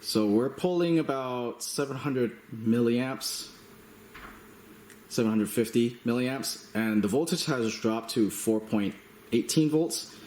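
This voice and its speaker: A man giving a casual hands-on product demonstration as a reviewer, speaking in a halting, matter-of-fact way. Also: medium pitch, darkish tone. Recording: roomy echo, slight background noise.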